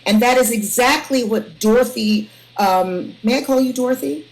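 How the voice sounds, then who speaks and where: A woman's voice: on the high side, moderate pace, poor audio. A woman hosting a panel in a casual, conversational style, reworking her phrases as she goes. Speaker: host, panel moderator.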